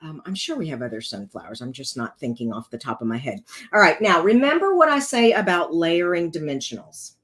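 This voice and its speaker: Low-voiced congested woman crafting. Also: very animated, fairly quick, a bit bright. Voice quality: rough voice. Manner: chatty, talks herself through each step. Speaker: card-making tutorial host. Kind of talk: casual crafting tutorial.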